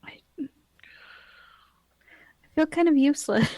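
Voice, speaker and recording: A woman with a somewhat high voice plays at a tabletop game, asking the game master questions in a hesitant, giggly way. Her sound is dry and close, with no room around it. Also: extremely slow, warm tone, dry recording. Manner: giggly, hesitant, lots of likes. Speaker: player. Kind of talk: casual tabletop game play.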